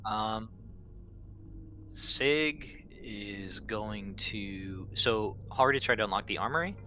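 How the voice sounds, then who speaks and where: Dude playing tabletop game, lowish voice w bright edge, casual, kinda lo-fi sound. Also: male voice, animated, slow, a little hiss or hum.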